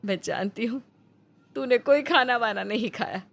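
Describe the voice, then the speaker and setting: A woman's voice: a little high, normal speed, creaky, faint music behind, voice pushed up. A woman voicing a caring, worried mother, tender and insistent, in a dramatized cartoon story. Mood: tender, coaxing. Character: caring, worried poor widowed mother to grown son.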